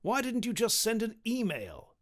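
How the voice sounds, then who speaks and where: A man's voice: high-pitched, wildly swooping pitch, moderate pace, pitched above the performer's own voice. A man voicing an imperious, exasperated medieval king in a storybook, with an exclamatory manner.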